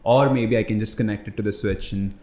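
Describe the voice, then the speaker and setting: A man's voice: on the low side, steady tone, fairly quick, darkish tone. Young man telling stories in a roomy studio. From India. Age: young adult. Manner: reflective, loose storytelling with many fillers. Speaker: startup founder, guest. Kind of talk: casual podcast conversation. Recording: roomy echo.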